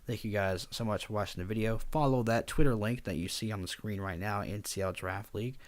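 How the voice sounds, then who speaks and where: Quiet, low-voiced young man rambling. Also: fairly quick, dry recording. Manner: quiet, subdued and somewhat monotone; rambling with frequent fillers. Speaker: draft league coach and video host. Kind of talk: casual spoken commentary over slides.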